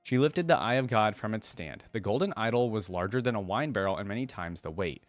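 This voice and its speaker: Low-voiced man reading aloud, dry sound. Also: expressive, a little fast, dry recording, soft background music. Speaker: reaction video host. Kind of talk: reading story aloud with casual commentary.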